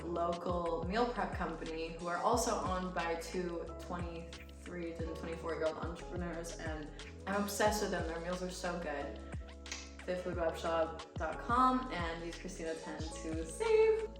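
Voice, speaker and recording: A woman's voice: fairly low pitch, measured pace. A young American woman, raised speaking Romanian, chatting and laughing through fan questions in a vlog. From United States. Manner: bubbly, chatty, laughs often. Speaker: fitness creator. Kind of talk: casual Q&A vlog. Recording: echoey room with music from a distance.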